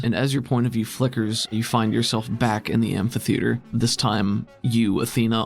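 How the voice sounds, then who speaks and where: Gravelly man running a tabletop game. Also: mid-range voice. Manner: explains rules in a casual, conversational way. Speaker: game master.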